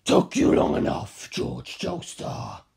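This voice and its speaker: A narrator voicing a cold, disdainful superhuman male villain in a slow, deliberate, breathy whisper. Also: darkish tone, lightly acted. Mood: cold impatience.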